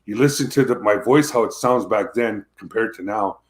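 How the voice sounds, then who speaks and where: A man with a deep voice, a country singer talking casually about his music over a video call, often restarting and repeating words. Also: moderate pace.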